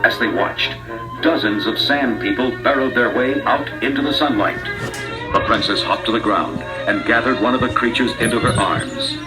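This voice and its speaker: A man's voice: mid-range voice, normal speed, low-quality recording. Dramatic male storybook narrator over music. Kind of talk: scripted children's storybook narration. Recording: loud music behind.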